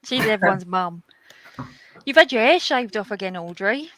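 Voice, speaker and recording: A woman's voice: medium pitch, animated, moderate pace, noisy background. Chatty, upbeat woman hosting. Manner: chatty, upbeat, greets everyone by name. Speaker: host of the live colour-along. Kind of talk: casual chat. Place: home. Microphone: video call.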